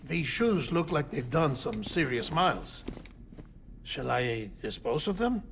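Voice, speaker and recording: A man's voice: a little high, very animated, unhurried, vocal fry, fairly bright tone, slight background noise, full character voice. An actor playing a polite, helpful, careful male attendant who speaks courteously in a video game. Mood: polite, curious. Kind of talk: cinematic video game acting.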